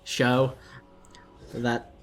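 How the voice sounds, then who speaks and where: A man's voice: medium pitch, drawn-out words, slight background noise. Chatty man with a Dutch accent commentating. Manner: chatty, rambling, jumps into sudden excited outbursts. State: tipsy. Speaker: video game commentator. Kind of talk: casual live match commentary.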